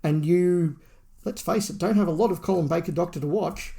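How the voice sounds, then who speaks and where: A man's voice: on the high side, fairly quick, gravelly, warm tone. A man co-hosting a podcast, casually discussing and reviewing with his fellow host.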